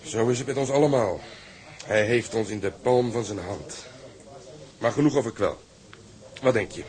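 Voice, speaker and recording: Frank seasoned sailor, acted by a man, low voice. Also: moderate pace, a little mellow, natural voice. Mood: resigned, hearty. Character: seasoned, frank, resigned sailor, the mate's drinking companion. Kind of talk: Dutch radio drama acting.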